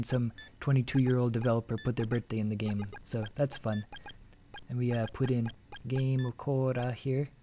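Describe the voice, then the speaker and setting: A man with an ordinary mid-range voice presents a game video, reading translated text aloud in a steady voice-over. He often breaks off in a jokey, eager tone to comment, and soft game music plays behind him. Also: medium pitch. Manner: lively, jokey, breaks off to comment with sudden excitement.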